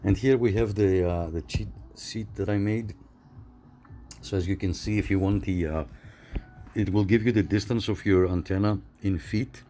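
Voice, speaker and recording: Deep-voiced man explaining a homemade antenna. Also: normal speed, a little hiss or hum. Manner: relaxed, explanatory, frequent "uh" fillers. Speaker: CB radio hobbyist host. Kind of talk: casual how-to narration.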